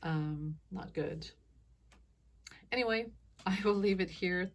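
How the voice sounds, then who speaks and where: A woman speaks alone into a phone, her voice scratchy from allergies and sitting a little low. She rambles from thought to thought in a loose, casual monologue, dropping chuckles along the way. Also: slow. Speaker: psychic commentator.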